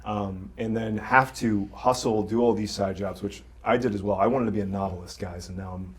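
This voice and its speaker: A man's voice: fairly low pitch, steady tone, a little fast. A man speaking casually and conversationally as a host in a panel discussion. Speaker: podcast host.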